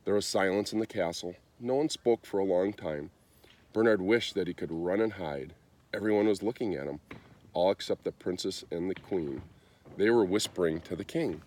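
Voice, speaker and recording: A man reading a children's story aloud in an expressive storytelling style. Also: low voice, moderate pace. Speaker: storybook reader.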